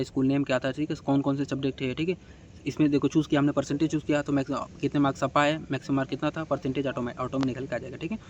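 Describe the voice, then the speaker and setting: A man's voice: a little high, a little mellow, highs cut off. A man giving casual, step-by-step instructions as a tutorial host, often adding short check-in tags.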